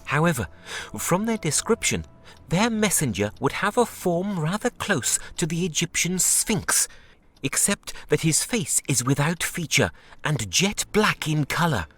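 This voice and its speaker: An actor playing a scholarly, sceptical translator of ancient books in a video game, with bookish, theatrical delivery. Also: male voice, a little high, sing-song, measured pace, fairly bright tone, breathy edge, exaggerated voice. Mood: sceptical storytelling.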